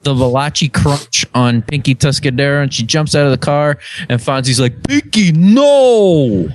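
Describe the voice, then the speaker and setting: A man's voice: on the high side, expressive, moderate pace. A man co-hosting a podcast with casual, joking banter. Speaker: podcast co-host.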